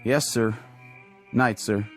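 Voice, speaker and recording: An actor playing an anxious, eager young army private with an American accent, nervous and earnest, in a radio-style drama. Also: male voice, on the low side, steady tone, slow pace, over music. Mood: nervous, apologetic. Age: young adult. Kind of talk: dramatic radio-play acting.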